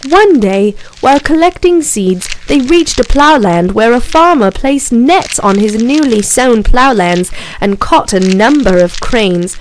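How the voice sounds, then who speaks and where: Woman narrator for children's tale; steady, slightly high, noise behind. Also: unhurried, rough recording.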